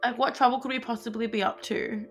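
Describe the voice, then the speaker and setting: A young woman with an Australian accent, co-hosting a podcast and telling a story in casual, animated chat full of fillers and swearing. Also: on the high side, quick pace, faint music behind. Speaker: podcast co-host.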